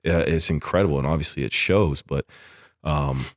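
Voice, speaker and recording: A man's voice: very deep voice, normal speed, dry recording, clean studio sound. A man co-hosting a podcast, chatting casually in an enthusiastic, rambling, bro-ish way. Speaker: podcast co-host, actor. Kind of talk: casual chat.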